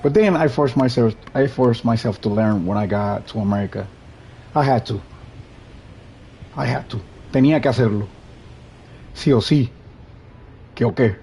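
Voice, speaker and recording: Easygoing Puerto Rican man with a mellow voice. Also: medium pitch, moderate pace, long pauses, slight background noise, low-quality recording. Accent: Puerto Rican Spanish. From Puerto Rico. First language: Spanish. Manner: easygoing, rambling, restarts sentences. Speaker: streamer. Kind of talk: casual gameplay commentary.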